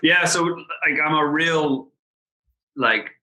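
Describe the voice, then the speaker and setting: An Irish man in his thirties, a podcast guest telling travel and football stories in quick, animated casual chat with lots of "like" fillers. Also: on the high side, low-quality recording. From Ireland.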